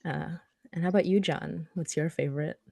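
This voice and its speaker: A woman with a fairly low voice hosts a casual discussion. She talks in a chatty, anecdotal way, and the sound is very dry. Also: steady tone, a little fast, gravelly, a little mellow, dry recording. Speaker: podcast host and moderator. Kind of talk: casual chat.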